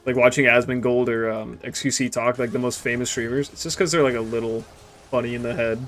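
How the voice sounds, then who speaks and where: A man's voice: medium pitch, fairly even intonation, brisk, fairly bright tone, slight background noise. Young man rambling crudely while gaming. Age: 20s. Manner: rambling, crude and joking, trailing off into mutters. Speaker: gaming streamer. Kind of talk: casual stream chat while gaming.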